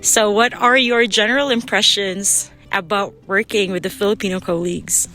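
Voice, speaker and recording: A woman's voice: medium pitch, steady tone, normal speed, pushed and bright, faint music behind. A woman interviewer putting questions to a guest.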